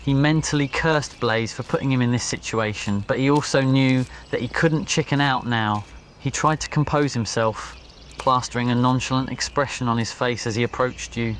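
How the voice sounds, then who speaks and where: A man's voice: medium pitch, steady tone, moderate pace, a bit bright, a little hiss or hum. A man reading a scripted ASMR story as its narrator. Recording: nature ambience behind voice. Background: crickets, birds and insects.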